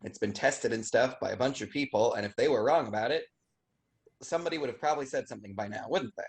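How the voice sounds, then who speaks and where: A man's voice: mid-range voice, fast talker, natural voice. A young man playing a casual, unsure college student in a staged play reading. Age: young adult. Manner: hesitant, casual, filler-heavy.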